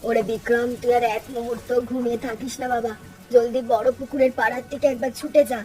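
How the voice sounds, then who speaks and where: A woman's voice: a little high, little pitch movement, fast, darkish tone, recorded from a distance, close to an everyday voice, poor audio. A woman voicing an urgent, commanding village mother of a grown son in a cartoon. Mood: urgent, alarmed. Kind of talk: Bengali cartoon dialogue.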